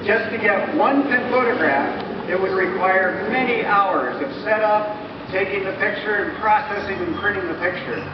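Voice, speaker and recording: High-voiced man presenting in an echoey room. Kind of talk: scripted educational narration to a live audience. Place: large room at a live event, far microphone. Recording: echoey, distant, muffled, noisy live recording.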